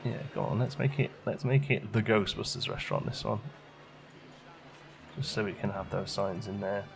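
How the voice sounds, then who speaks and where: A man's voice: lowish voice, normal speed, vocal fry, noisy background. A man giving casual gameplay commentary, chatting and musing aloud as he questions his own choices, as a let's play host.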